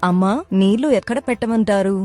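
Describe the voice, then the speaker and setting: A woman as a mellow, kind young village woman. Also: mid-range voice, steady tone, fast talker, soft background music, lightly acted. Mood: curious. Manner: soothing, polite. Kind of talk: cartoon moral story dialogue.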